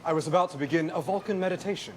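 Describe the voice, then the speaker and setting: Logical alien first officer, acted by a man: deadpan, formal, slightly high voice over faint live-room noise. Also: rapid-fire, lightly acted. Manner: precise, deadpan. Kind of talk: comic stage reading.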